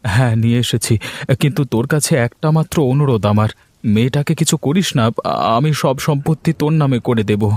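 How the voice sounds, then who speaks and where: A man's voice: mid-range voice, brisk, darkish tone, dry recording, natural voice. A man voicing a wronged, protective father and elder brother who pleads earnestly in a cartoon. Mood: pleading. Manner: earnest, imploring. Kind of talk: Bengali moral-story cartoon dubbing. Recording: music under the voice.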